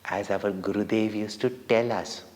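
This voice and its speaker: Slow-speaking Indian man in a reverberant hall. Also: on the low side, steady tone, darkish tone. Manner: deliberate, dramatic, with short pauses between phrases. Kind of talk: devotional storytelling for children. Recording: long reverberant hall echo.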